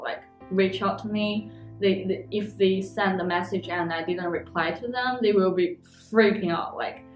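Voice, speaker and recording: A young woman from China, telling her story haltingly as an international student and often searching for words. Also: mid-range voice. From Xinjiang, China. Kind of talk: documentary interview. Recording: echoey room.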